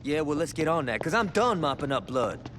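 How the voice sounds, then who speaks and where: An actor playing a cocky, foul-mouthed gang lieutenant in a video game cutscene, talking with profane wisecracks. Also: male voice, fairly high pitch, big pitch swings, brisk, fairly bright tone, a little hiss or hum. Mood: scheming. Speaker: game character.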